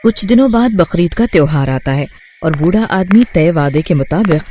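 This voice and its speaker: A man's voice: on the high side, animated, moderate pace, mellow, a little hiss or hum. A man narrating a moral bedtime story as scripted storybook narration.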